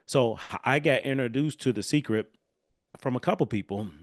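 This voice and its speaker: A man hosting a solo podcast in a casual, animated way, his voice congested and nasal from a cold. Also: mid-range voice, unhurried, no room sound, studio quality. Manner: casual, animated, chatty with asides. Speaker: podcast host.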